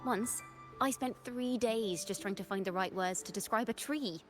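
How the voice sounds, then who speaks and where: A woman's voice: medium pitch, normal speed, close to an everyday voice. A woman voicing a cheerful, poetic young female bard in a video game, with a theatrical, whimsical, lyrical delivery. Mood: bright, playful. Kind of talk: scripted video game voice acting. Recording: game music behind the voice. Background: background music.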